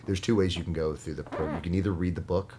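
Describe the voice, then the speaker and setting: Very deep-voiced man in casual studio chat. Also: steady tone, noisy background. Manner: earnest, halting with many restarts. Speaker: guest. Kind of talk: casual podcast conversation.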